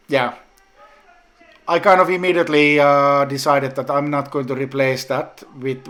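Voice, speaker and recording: A man whose native language is Finnish, talking casually in a home brewing vlog update, with many pauses and restarts. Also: mid-range voice, a bit bright. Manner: slow, hesitant, many ums and restarts.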